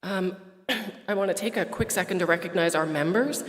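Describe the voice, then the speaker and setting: A woman from Quebec whose first language is French, giving a brisk report at an association's annual meeting, with some fillers and restarts. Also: on the low side. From Quebec, Canada. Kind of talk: prepared speech at a meeting. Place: meeting hall at an airport. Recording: roomy hall reverb.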